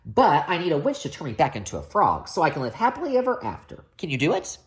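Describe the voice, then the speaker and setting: A woman's voice: low voice, huge pitch swings, quick pace, pitched above the performer's own voice. A woman voicing a hapless young storybook prince, earnest, polite and flustered, often pleading. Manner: polite, flustered, repeats himself.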